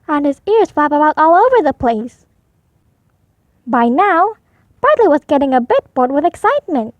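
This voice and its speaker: A woman reads a picture book aloud for young children. Her voice is high and very animated, with expressive storytelling and a dry, close sound. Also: normal speed, dry recording, highs cut off.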